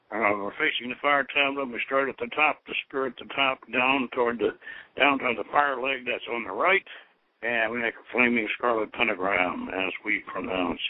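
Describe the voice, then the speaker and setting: A man leading a ritual as host, moving between informal instruction and solemn invocation in a halting, deliberate manner. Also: medium pitch, dry recording. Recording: narrow tinny phone-like radio sound.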